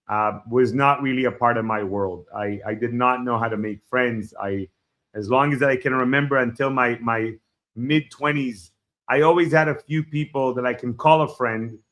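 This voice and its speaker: A man in his forties from Israel, a Hebrew speaker, telling his life story as a podcast guest in a reflective, halting way. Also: medium pitch, a bit bright. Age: 40s. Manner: reflective, halting starts with frequent fillers. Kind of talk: personal storytelling in an interview.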